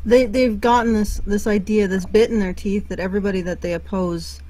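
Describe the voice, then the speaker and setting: A woman's voice: medium pitch, fairly even intonation, a little fast, warm tone, dry recording, low-quality recording. Woman podcast host, hesitant, lots of ums, dry sound. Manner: hesitant, filler-heavy. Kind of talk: casual interview chat.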